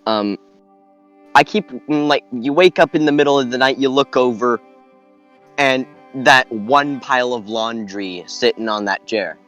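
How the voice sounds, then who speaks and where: Halting, casual man on a podcast. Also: mid-range voice, fairly bright tone, soft background music. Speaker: podcast panellist. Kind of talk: casual chat.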